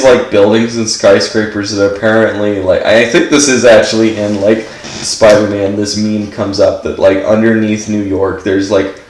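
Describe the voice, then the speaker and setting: A man's voice: fairly low pitch, reverberant, rough recording. A man giving casual gameplay commentary while stuffed up from allergies, rambling and filling his speech with "like". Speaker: game streamer.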